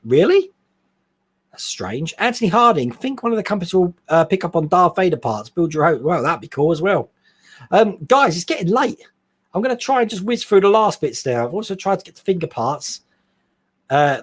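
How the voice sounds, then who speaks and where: Lively man chatting on a live stream. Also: a little high. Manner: chatty, rambling, quick laughs. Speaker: host. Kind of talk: casual live-stream chat reading viewer comments.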